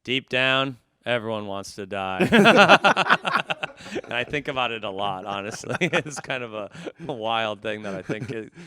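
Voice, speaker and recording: A man's voice: mid-range voice, expressive, a little slow, pushed and bright, no room sound. A man speaking as a guest comedian on a podcast, telling stories casually with dry, irreverent humor.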